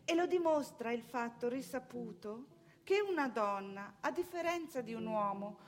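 A woman's voice: fairly high pitch, lively intonation, moderate pace, soft background music, close to an everyday voice. An actress playing a proud, anxious, self-righteous married mother in an opinionated theatrical monologue full of rhetorical questions. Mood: indignant, scornful.

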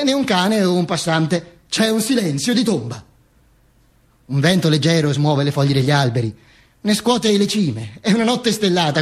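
A high-pitched male voice in a stage monologue, a dead dictator recounting his own afterlife. He stammers, corrects himself and repeats phrases, then slips into slow, mock-heroic declamation. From Italy. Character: dead Benito Mussolini narrating from the grave, rambling and mock-heroic. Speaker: stage actor performing a monologue. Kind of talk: theatrical storytelling monologue.

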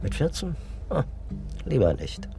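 An actor playing a wry, probing police detective in a naturalistic radio crime drama, with a dry, ironic way of questioning. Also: male voice, mid-range voice, wildly swooping pitch, a little slow, creaky, full character voice. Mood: wry, self-mocking. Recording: music behind the voice.

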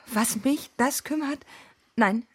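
An impulsive young married woman, naive and coquettish in love. Her is fairly high, with a husky, breathy edge. It is literary radio drama acting. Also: slow, heavily acted. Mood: anxious, devoted.

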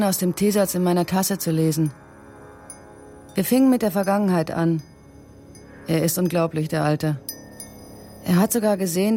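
An actress playing a young woman recounting her haunted story in an intimate, hushed manner in a radio drama. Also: lowish voice, natural voice. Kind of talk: literary radio drama narration.

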